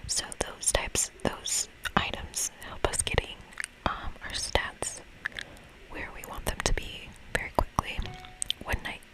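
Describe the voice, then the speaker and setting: This is an ASMR host playing through a video game, speaking entirely in a whisper. The delivery is very slow and soft, with an unhurried, chatty feel. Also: rough recording.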